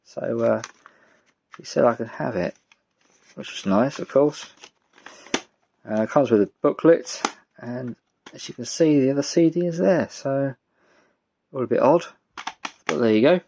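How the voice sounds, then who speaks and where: A British man giving a casual, rambling show-and-tell as a vlogger, speaking in a halting, low-key way. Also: gravelly, warm tone, slight background noise. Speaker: vlogger showing a music collection.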